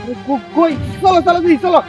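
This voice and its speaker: A woman's voice: very high-pitched, fast, over music. A woman acting a frantic village mother in an amateur melodrama, urgent and pleading, repeating her cries. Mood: alarmed disbelief. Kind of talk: amateur short-film melodrama.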